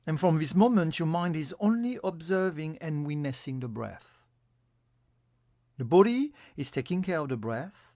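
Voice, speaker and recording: A man giving a guided yoga nidra meditation as an instructor, in a calm, soothing, instructional manner. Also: a little high, moderate pace, dry recording, studio quality.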